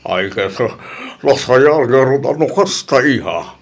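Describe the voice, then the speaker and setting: An actor playing a concerned, affectionate middle-aged father in a radio drama, gravelly and expressive, with a Filipino accent. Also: medium pitch, a little slow. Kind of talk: Ilocano radio drama acting.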